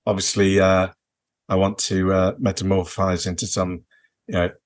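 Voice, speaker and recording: A British man co-hosting a podcast in casual, unscripted chat, with a halting, rambling way of building his sentences. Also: sits low, little pitch movement. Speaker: podcast co-host.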